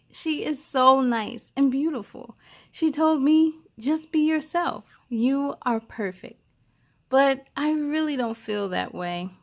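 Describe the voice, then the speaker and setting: A woman hosting a children's story time, reading aloud expressively with chatty asides and speaking encouragingly straight to young listeners. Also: high voice.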